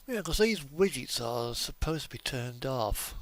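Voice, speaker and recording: A man's voice: mid-range voice, expressive, measured pace, a little hiss or hum. A man giving casual, rambling commentary as he plays a game, often muttering and thinking aloud to himself. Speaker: gaming video host.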